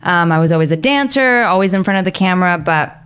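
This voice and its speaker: Chatty woman from Bulgaria, low voice. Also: animated, quick pace, fairly bright tone, clean tone. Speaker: guest filmmaker. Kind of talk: casual interview chat.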